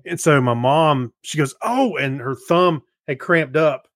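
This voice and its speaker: A man's voice: fairly high pitch, unhurried, fairly bright tone, no room sound. Easygoing male co-host, dry close sound. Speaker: podcast co-host. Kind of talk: casual chat.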